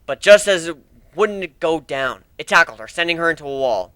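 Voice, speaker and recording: Male story narrator, dramatic, bright forceful tone, lively pitch swings, close dry sound. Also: normal speed, clear, resonant tone, no room sound.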